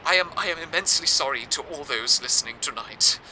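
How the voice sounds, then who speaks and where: A man's voice: fairly high pitch, expressive, fast, pushed and bright, slight background noise, poor audio. A man playing an earnest, deferential radio presenter in an audio drama, speaking in a formal, theatrical scripted style. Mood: apologetic. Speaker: radio broadcast host.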